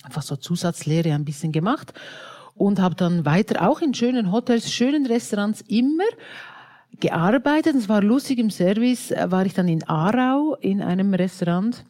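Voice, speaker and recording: Swiss woman with a dark, mellow voice. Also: medium pitch, expressive, moderate pace. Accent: Swiss German. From Switzerland. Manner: hesitant, many fillers and restarts. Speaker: singer, interview guest. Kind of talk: casual interview.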